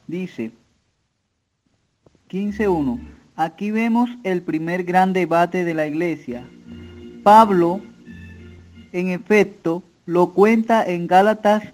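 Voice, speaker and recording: A man in a Bible discussion reading a footnote aloud, slow and deliberate. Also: high voice, a little mellow, rough recording. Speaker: participant in an online Bible study.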